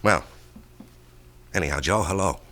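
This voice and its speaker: A man's voice: low-pitched, unhurried. An actor playing an insecure, weary salesman and husband in a radio drama, speaking in an earnest, hesitant way. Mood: determined, uneasy. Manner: earnest, hesitant, self-doubting. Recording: noisy vintage radio drama recording.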